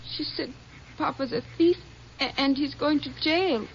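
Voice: high-pitched, unhurried. A performer playing a tearful, feisty young rancher's daughter in an old radio drama. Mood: anxious, insistent. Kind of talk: 1950s radio drama acting. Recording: dull vintage radio, faint noise.

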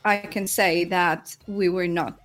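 A woman's voice: lowish voice, steady tone, slow pace, fairly bright tone. Woman from Jordan with music behind. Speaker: podcast guest, entrepreneur. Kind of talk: personal storytelling in a live interview. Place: live remote video call.

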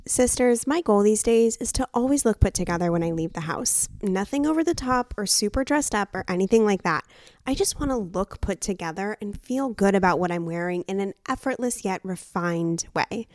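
A woman podcast co-host delivers a sponsor read as a personal endorsement. Her delivery is chatty and enthusiastic at a medium pitch. The sound is dry and close, with no room on it. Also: lively intonation, moderate pace, darkish tone, no echo.

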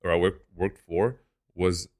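Young male guest, very deep voice, relaxed conversational podcast delivery. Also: a little slow. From Lebanon. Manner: relaxed, says "dude" and "man" often. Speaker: guest, e-commerce entrepreneur. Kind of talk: casual podcast interview.

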